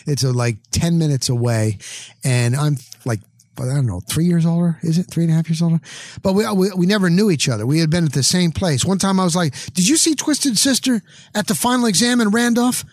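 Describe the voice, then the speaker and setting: Male podcast host, middle-aged, American. Slightly high voice, close dry sound, casual punchy storytelling with acted-out dialogue. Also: brisk, dry recording. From New Jersey, United States. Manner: animated storyteller, acts out dialogue in short punchy phrases. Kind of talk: casual anecdotal monologue.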